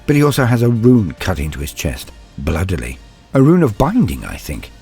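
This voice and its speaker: A man's voice: sits low, huge pitch swings, moderate pace, a little mellow, husky. A man narrating as a storyteller and host, in a scripted, theatrical style with courtly phrasing. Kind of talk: dramatic first-person story narration and scripted channel address. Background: background music.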